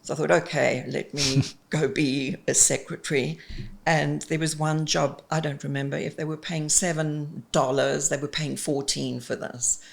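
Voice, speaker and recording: A South African woman in her fifties talks with a gravelly edge in her voice. She chats expressively and freely as an executive coach, with plenty of ums between thoughts. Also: low-pitched, moderate pace. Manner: chatty and expressive, with frequent ums. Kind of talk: casual interview chat.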